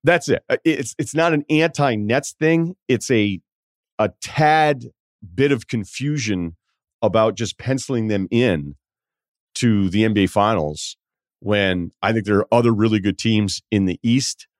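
Male sports host, lower register, conversational commentary, dry recording. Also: a little slow, no room sound.

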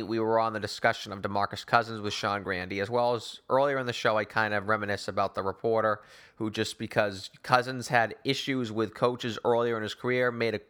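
Bright, forceful male sports host. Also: lowish voice. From Newton, Massachusetts, United States. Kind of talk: opinion monologue on sports talk show.

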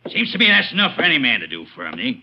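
An actor playing a greedy, bullying Texas farmer with a rural Southern accent and a gruff manner in a 1950s radio drama. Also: male voice, mid-range voice, huge pitch swings, very fast. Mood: angry, threatening. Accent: rural American Southern. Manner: gruff, threatening, folksy drawl. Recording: dull, noisy vintage radio recording.

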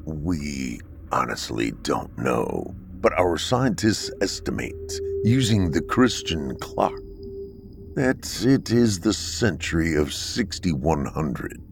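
A man voicing a calm, androgynous bunker dweller from the far future, speaking slowly and sternly. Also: a bass voice, vocal fry, a little hiss or hum, heavily acted. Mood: calm, grave. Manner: slow, measured, stern.

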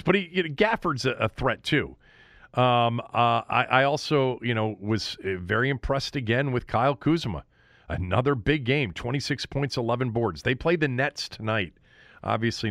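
A man's voice: medium pitch, a bit bright, no echo, studio quality. A man hosting a sports podcast, giving casual, opinionated recaps in an emphatic, conversational way. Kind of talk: casual sports recap commentary, talking solo to listeners.

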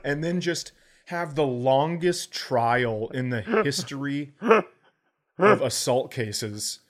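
A man's voice: medium pitch, slow pace. American man telling profane comic stories. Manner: profane, animated joking storyteller. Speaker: comedian and podcast co-host. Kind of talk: casual comedic storytelling.

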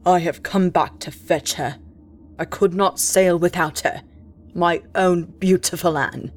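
A woman's voice: lowish voice, a little slow, lightly acted. A woman reading a storybook voicing a menacing, scornful male sea captain who talks in gasping, threatening bursts. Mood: urgent.

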